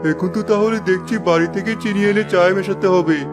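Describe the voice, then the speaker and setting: A man's voice: high voice, steady tone, fast, natural voice. A man voicing a chatty, outspoken village tea-stall regular in a cartoon. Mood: complaining. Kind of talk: cartoon dub. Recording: music behind the voice.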